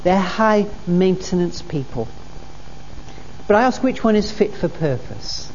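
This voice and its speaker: A woman's voice: fairly low pitch, very animated, a little slow, a little mellow. A woman preacher delivering a sermon, earnest and repeating her key phrases for emphasis. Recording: dull recording in a reverberant hall with faint noise.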